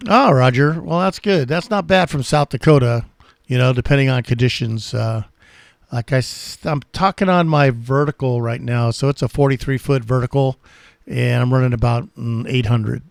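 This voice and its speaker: Male radio hobbyist, friendly conversational operator, dry close sound, medium pitch. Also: normal speed, gravelly, no room sound. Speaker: amateur radio operator and channel host. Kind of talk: casual ham radio contact chat.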